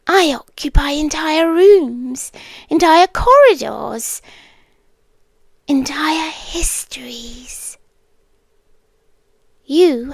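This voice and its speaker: High-pitched, slow British actress as possessive monster girl. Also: gravelly, dry recording, exaggerated voice. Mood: calm possessive. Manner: measured, formal, often dropping into whispers. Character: obsessive yandere female monster with tail, claws and venom. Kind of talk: intimate ASMR roleplay monologue.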